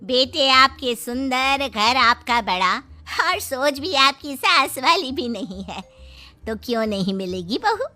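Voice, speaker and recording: A woman's voice: sits high, lively intonation, takes it slowly, sharp tone, faint music behind, exaggerated voice. A woman playing a chatty female relative. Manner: reassuring, chatty. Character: talkative female relative giving advice. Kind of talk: cartoon voice acting.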